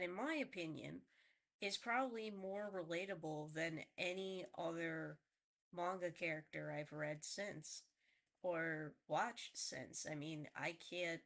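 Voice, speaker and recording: An adult woman speaks in a casual, heartfelt monologue, her voice a touch low and nasal from a recent cold. She rambles earnestly, circling back and restarting her sentences as she goes. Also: vocal fry, a bit bright. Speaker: vlogger.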